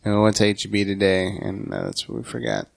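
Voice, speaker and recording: A man's voice: low-pitched, big pitch swings, fast, creaky. A man in his late twenties, a podcast guest, telling an easygoing story in casual chat. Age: 20s.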